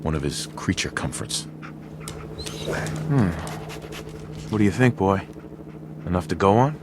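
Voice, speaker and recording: A determined father for a video game. His voice is very low, and he speaks plainly and earnestly. Clear noise runs behind him. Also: big pitch swings, a little slow. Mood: urgent. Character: determined father searching for his kidnapped son.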